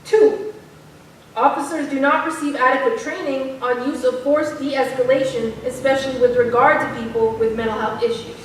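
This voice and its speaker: A high-voiced young woman activist played by an actress in a staged reading. She is heard from far off in a very reverberant hall, with faint noise. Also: fairly even intonation, normal speed, close to an everyday voice. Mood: earnest, stern. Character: young woman activist friend who raps. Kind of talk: staged reading, part report part casual dialogue. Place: theater stage at a live reading.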